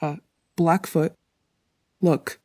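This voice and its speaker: A woman's voice: low voice, fairly even intonation, very slow, dark tone, no room sound, exaggerated voice. A woman voicing a weary, sardonic predator animal, cautious and dry, in a dramatic comic dub. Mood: wary. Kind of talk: dramatic comic fandub acting.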